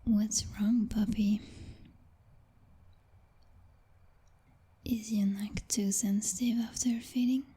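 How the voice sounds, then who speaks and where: A woman's voice: medium pitch, steady tone, warm tone, husky. A woman playing a possessive vampire girlfriend in an intimate ASMR roleplay, whispering breathily in a teasing, dominant, coddling purr. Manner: slow, purring, teasing, condescending pet names.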